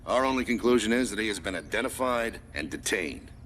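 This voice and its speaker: A man playing an authoritative military commander who gives mission briefings in a firm, crisp, commanding manner. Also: lowish voice, lively intonation, fairly quick, fairly bright tone, a little hiss or hum. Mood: stern, informative. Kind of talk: scripted game mission briefing.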